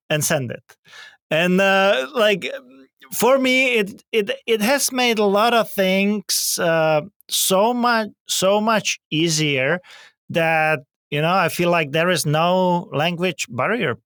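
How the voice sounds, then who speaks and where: A podcast host with a Czech accent, whose voice sits high for a man and sounds smooth and resonant. He talks casually and haltingly, with lots of fillers. The sound is dry and close. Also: fairly bright tone, no room sound. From Czech Republic. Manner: hesitant, many fillers and restarts. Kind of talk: casual interview chat.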